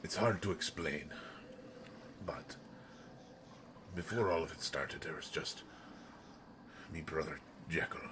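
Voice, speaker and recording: A man playing a brutish, slow-witted but protective monster in an audio roleplay, speaking in halting phrases that swing from hushed to loud. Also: rumbling low, background noise. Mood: brooding, confiding. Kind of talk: intimate dramatic audio roleplay monologue.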